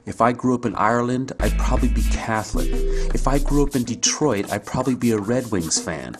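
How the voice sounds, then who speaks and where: A man's voice: medium pitch, fairly quick, music in the background. An American man from California giving a scripted, persuasive monologue, speaking directly and rhetorically to the viewer. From San Jose, California, United States. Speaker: video blogger.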